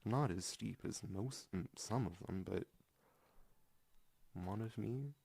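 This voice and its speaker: A man's voice: on the low side, slow pace, gravelly, warm tone, slightly rough. A man co-hosting a casual podcast about music, speaking in a hesitant, stammering way with dry delivery. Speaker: podcast co-host.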